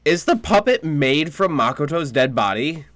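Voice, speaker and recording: A man's voice: fairly high pitch, big pitch swings, moderate pace, a bit bright, no room sound. A man streaming a video game, giving casual live commentary and musing aloud. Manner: muses aloud, repeats phrases, casual swearing.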